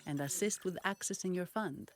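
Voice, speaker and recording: Woman as a low-voiced, composed bank staffer. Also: moderate pace, no echo, natural voice. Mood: polite, composed. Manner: polite, formal, restrained. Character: composed, businesslike young female bank staffer. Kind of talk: scripted game dialogue. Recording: background music under voice.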